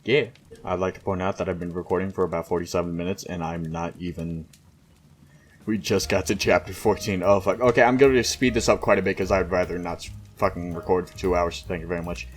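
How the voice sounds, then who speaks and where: A man's voice: low voice, fairly even intonation, brisk. A man doing a casual, mocking read-aloud with exasperated, sweary asides. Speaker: host reading a fan fiction aloud with commentary.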